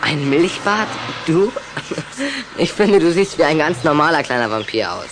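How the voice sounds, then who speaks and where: Earnest, indignant schoolboy. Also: male voice, a little high, sing-song, normal speed, fairly bright tone, a little hiss or hum. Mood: defensive, explaining. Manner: matter-of-fact, reproachful, precise. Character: earnest schoolboy, the vampire's indignant human friend. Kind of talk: children's audio drama acting.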